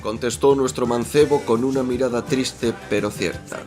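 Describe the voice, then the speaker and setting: A man's voice: mid-range voice, fairly even intonation, moderate pace, lightly acted. A man narrating a radio soap in a florid, mock-solemn storytelling manner. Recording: music behind the voice.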